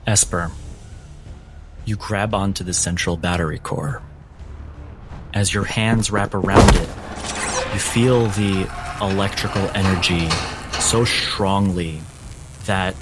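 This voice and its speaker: A man narrating a tabletop roleplaying game as game master, with deliberate, dramatic phrasing. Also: low-pitched, heavy background noise.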